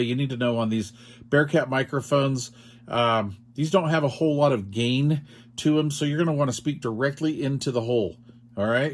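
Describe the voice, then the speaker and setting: A man explaining things in an informal, step-by-step way, as in a hands-on tutorial, often checking in with the listener. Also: medium pitch. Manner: informal, explanatory, checks in with "all right" and "okay". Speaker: radio product demonstrator.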